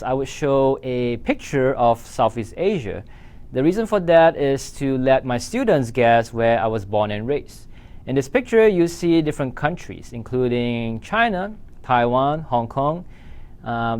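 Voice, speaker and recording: A man from Singapore with a Singaporean accent, presenting a prepared course lecture as a university instructor in a measured, explanatory way. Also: medium pitch, a little mellow.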